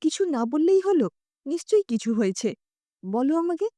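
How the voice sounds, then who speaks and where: A woman's voice: high voice, big pitch swings, normal speed, dark tone, no echo, clean studio sound. A woman voicing an anxious, tender wife who questions her husband insistently in a dramatised audio story. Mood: worried.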